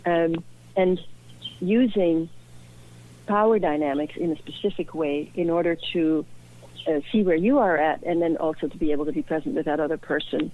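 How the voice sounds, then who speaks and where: A woman's voice: low-pitched, moderate pace, a little hiss or hum. A woman from Belgium, a guest and author, talking earnestly and reflectively in an interview. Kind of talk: personal interview. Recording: tinny phone-like line.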